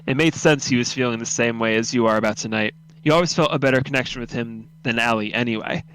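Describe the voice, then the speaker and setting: A man's voice: medium pitch, quick pace, a bit bright, clear, resonant tone, low-quality recording. Man narrating a scripted suspense story. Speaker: narrator of a radio drama read-through.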